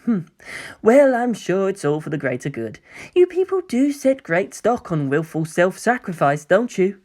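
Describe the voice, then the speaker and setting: Man voicing a sly, softly mocking young angel villain, lilting. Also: sits high, big pitch swings, moderate pace. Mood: gently mocking. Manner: coy, gentle, musing, theatrical.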